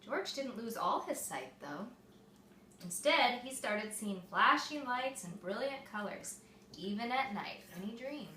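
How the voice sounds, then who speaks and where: A woman reading a picture book aloud to young children, expressive and explaining things simply, like a mother at storytime. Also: a little high, measured pace. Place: echoey room with small children present. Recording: echoey room, distant mic, faint noise.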